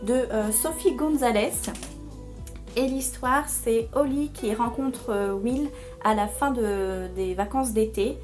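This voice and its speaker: A young woman talking casually about books, pausing often and filling gaps with hesitant sounds. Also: a little high, a little mellow, soft background music. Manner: hesitant, many fillers. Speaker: book vlogger. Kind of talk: casual book chat.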